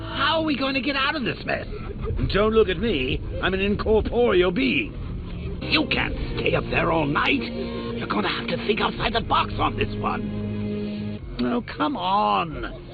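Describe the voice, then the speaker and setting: Gravelly clown villain from a game, slow and sing-song, swinging wild up and down, tinny sound with music behind. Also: male voice, high voice, very animated, exaggerated voice. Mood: mocking. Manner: mocking, theatrical, swings between whispers and shouts. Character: gleeful taunting male clown villain.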